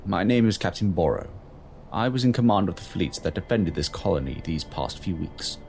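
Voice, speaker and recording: A man playing a grave, kindly fleet captain in an audio drama, speaking formally and in a measured, solemn way. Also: low-pitched, expressive, creaky, a little mellow, faint music behind. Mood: formal, cordial.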